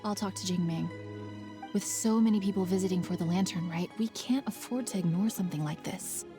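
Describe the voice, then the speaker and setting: A woman's voice: lowish voice, a little fast, over music, lightly acted. A woman voicing a friendly young lantern maker in a video game, motherly and coaxing. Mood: serious.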